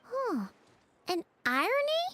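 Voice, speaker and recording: A tiny floating girl sidekick from a fantasy game. The voice is extremely high and squeaky, bouncing up and down with childlike curiosity. Music plays underneath. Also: huge pitch swings, slow pace, fairly bright tone, slight background noise, heavily acted. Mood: curious. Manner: speaks of herself in third person, exclamatory. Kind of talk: video game cartoon voice acting.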